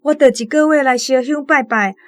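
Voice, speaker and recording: A woman plays an anxious mother in a Taiwanese Hokkien radio drama. Her voice sits high, and she speaks as a devout, worried wife full of questions. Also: normal speed, close to an everyday voice. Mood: earnest pleading.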